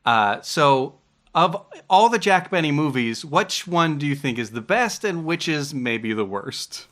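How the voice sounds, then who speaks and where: A man's voice: a little high, expressive, a little slow, a bit bright. A middle-aged American man chatting casually as he hosts a podcast interview.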